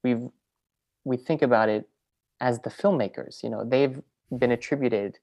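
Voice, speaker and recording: A woman filmmaker and teacher, in a relaxed interview, who talks in loose, rambling sentences full of fillers and restarts. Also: extremely low pitch, moderate pace. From French Venezuelan, raised in New York. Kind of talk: casual interview conversation.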